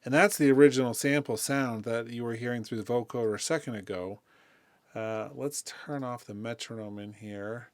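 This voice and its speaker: A man presenting a music production tutorial in a casual, informal way, thinking aloud as he demonstrates software. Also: medium pitch, normal speed.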